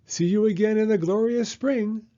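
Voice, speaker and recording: A man's voice: high voice, moderate pace, warm tone, highs cut off, pitched above the performer's own voice, natural voice. A man voicing a cheerful, eager little female bird teacher in a children's storybook, chirping with exclamatory enthusiasm. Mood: cheery. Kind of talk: children's picture book character voice.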